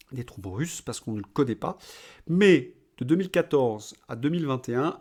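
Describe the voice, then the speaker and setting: A man giving a spoken political commentary to viewers, explaining insistently and often adding little tag words and restarts. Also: medium pitch. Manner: insistent, explaining, many "hein" tags and restarts. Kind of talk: spoken monologue addressed to viewers.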